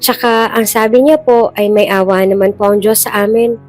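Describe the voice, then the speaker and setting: A woman's voice: mid-range voice, steady tone, normal speed, a little mellow, voice pushed up, lightly acted. A woman with a Filipino accent voicing an innocent, polite eight-year-old child in a storybook-style drama. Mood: meek, hopeful. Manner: meek, respectful. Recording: music bed behind the voice.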